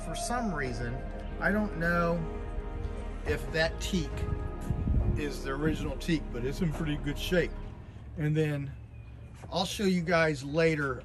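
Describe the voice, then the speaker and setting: A man in his fifties vlogging casually outdoors on a sailboat at a marina, rambling and correcting himself as he talks. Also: on the high side. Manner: rambling, self-correcting, conversational. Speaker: boat owner vlogger. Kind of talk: casual vlog talk.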